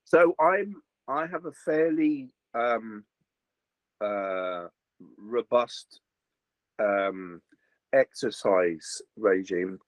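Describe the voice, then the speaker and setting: An older man, a voice actor chatting in an interview, slightly gruff, rambling with many fillers and restarts. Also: fairly low pitch, extremely slow, long pauses, dry recording. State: slightly gruff after a recent virus. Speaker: voice actor guest. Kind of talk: casual interview chat.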